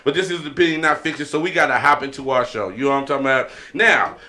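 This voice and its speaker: A man hosting a casual hip-hop talk podcast, animated and slangy, often repeating catchphrases. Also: medium pitch, very fast. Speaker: podcast host.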